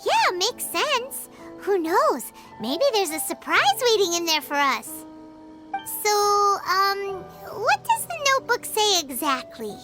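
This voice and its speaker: A very high, squeaky little companion creature, bubbly and bouncing all over her range. She chatters about herself in the third person. Game music plays loudly behind her. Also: huge pitch swings, takes it slowly, full character voice. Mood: excited. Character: tiny chirpy female floating companion creature.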